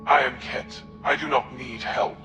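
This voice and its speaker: A man playing a cold, scheming alien military zealot in a video game, speaking formally and deliberately with menace. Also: mid-range voice, steady tone, natural voice. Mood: haughty. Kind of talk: dramatic video game acting. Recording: echoing, with music behind.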